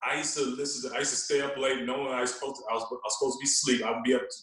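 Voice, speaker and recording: A man telling stories in an animated, casual way, using street slang and repeating himself for emphasis. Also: mid-range voice, rapid-fire. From Queens, New York. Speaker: podcast guest, radio personality. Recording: very echoey room, distant from mic.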